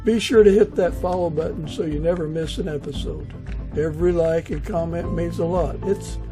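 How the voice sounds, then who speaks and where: Guy with a pretty high voice doing a podcast intro, music playing behind him. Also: normal speed, low-quality recording. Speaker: podcast host.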